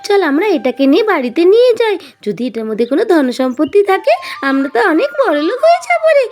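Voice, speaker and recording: Stubborn little girl for doll cartoon: extremely high, animated, whining, excitable. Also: quick pace, background noise. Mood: eager. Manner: pleading, childish whining. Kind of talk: children's doll-play cartoon story.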